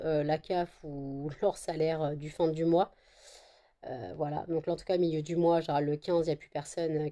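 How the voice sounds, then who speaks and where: A woman chats to her phone at home in a loose, meandering way, full of hesitations and restarts. Her voice sits a little low and sounds mellow and soft. She is still a bit congested from a cold. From France. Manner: meandering, many fillers and restarts. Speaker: vlogger, candle maker. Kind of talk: casual rambling chat to camera. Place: at home indoors.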